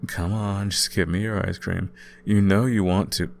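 A young man playing an easygoing, caring student roommate in an intimate ASMR audio roleplay, talking casually with gentle teasing. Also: low-pitched, fairly even intonation, normal speed, gravelly, a little hiss or hum. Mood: playful. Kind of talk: intimate ASMR boyfriend-style roleplay, conversational acting.

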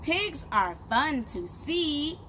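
A woman in her thirties reading a picture book aloud to young children as their teacher, in simple, deliberate phrases, recorded outdoors by a camera a short distance away. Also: high voice, very animated, bright, forceful tone. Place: outdoors, on a patio beside plants. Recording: clear outdoor background noise.

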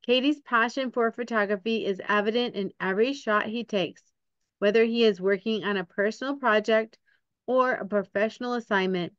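A woman's voice: medium pitch, clean tone, dry recording, highs cut off. A middle-aged woman hosting a podcast interview over a video call, upbeat and reading her guest's bio aloud. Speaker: podcast host.

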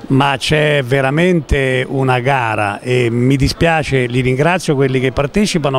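A man in his sixties with an Italian accent, president of a charity event, speaking slowly and earnestly into a handheld mic in a large concert-hall lobby. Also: medium pitch. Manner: slow, earnest, modest. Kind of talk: interview at an event. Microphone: handheld interviewer mic.

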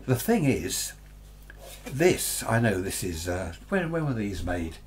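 Older British man, low-pitched, chatty. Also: very animated, takes it slowly, a little hiss or hum. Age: 60s or older. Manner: chatty, rambling, with tag questions. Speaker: presenter reviewing radio gear. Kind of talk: casual unscripted product demonstration. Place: small cluttered indoor radio workshop.

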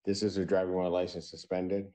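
Low-pitched older American male judge. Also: level tone, fast talker, dark tone. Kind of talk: formal courtroom proceedings. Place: courtroom, on a video call. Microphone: video call.

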